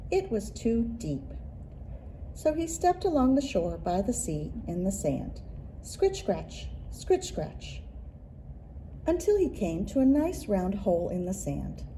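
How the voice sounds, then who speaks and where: A woman reads a children's picture book aloud in an expressive, deliberate storytelling manner, leaning into rhythmic phrases. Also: high voice, slight background noise, low-quality recording. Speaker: children's librarian and story reader.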